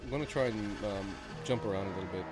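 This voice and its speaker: A man's voice: on the low side, fast talker, loud music behind the voice. A male streamer with a cold, sniffly as he gives casual commentary on game previews, thinking aloud and rambling with restarts.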